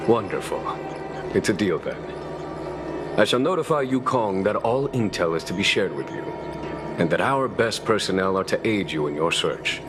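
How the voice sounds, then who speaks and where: Casting: a composed, shrewd military commander for a game, deep voice, formal diplomatic phrasing, music underneath. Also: male voice, lively intonation, moderate pace. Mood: gracious, assured. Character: calm, courteous, shrewd male general commanding a starship's knights. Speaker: military leader. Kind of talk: scripted video game dialogue.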